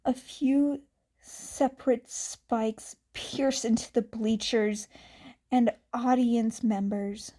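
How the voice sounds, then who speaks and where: Lady reading fanfic out loud, kinda high voice, takes her time. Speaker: fanfiction reader.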